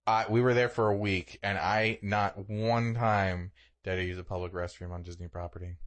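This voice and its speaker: A man's voice: deep voice, fairly even intonation, normal speed. A young man co-hosting a podcast, telling stories in casual comedic chat with exaggeration and casual swearing. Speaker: podcast co-host.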